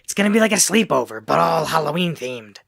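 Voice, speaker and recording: Man playing a creaky, bold young girl. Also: fairly high pitch, very animated, quick pace, exaggerated voice. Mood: reassuring. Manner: assertive, scolding. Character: bold, sharp-tongued girl standing up for her friend. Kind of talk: comedic post-apocalyptic radio play acting.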